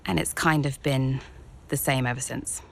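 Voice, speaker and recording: A woman's voice: a bass voice, steady tone, normal speed, vocal fry, a little hiss or hum, full character voice. An actress playing a young female racing driver who resents her family and speaks in a direct, guarded, bitter way. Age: young adult. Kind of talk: video game cutscene acting. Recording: game cutscene with loud vehicle ambience.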